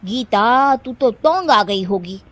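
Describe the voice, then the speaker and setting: A woman playing a gossiping Bengali relative who mocks and taunts in an animated story. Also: fairly high pitch. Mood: gossipy taunting. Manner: mocking, drawn-out taunts.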